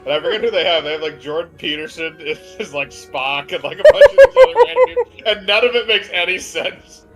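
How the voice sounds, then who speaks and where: A man chatting casually as co-host of a game stream. His pitch swings freely in a joking, informal delivery, and faint music plays behind him. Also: very animated, a little fast, hardly any pauses, low-quality recording. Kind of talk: casual chat over gameplay.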